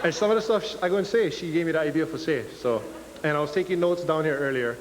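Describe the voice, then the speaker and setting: A young man from Hawaii speaks in a Hawaiian Pidgin accent, loose and self-mocking as he admits his nerves to the audience. His voice sits slightly high for a man. The recording carries a long reverb from a lecture hall and a faint hiss underneath. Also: fast. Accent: Hawaiian Pidgin English. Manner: nervous, joking, self-deprecating. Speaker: guest speaker. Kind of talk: casual public talk.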